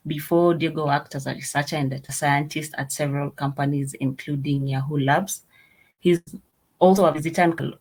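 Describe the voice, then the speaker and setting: A meetup host reading out speaker introductions in a halting way, with restarts and fillers. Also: mid-range voice, poor audio.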